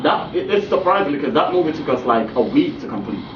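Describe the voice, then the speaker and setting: A young man with a Jamaican accent talks in an easy, laid-back way, mid-pitched. He sounds far from the mic in an echoey room. The sound is muffled, with a clear layer of background noise. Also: fast. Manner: relaxed, peppered with "you know what I'm saying". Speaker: guest actor. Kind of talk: casual interview chat. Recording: distant roomy muffled recording, noisy.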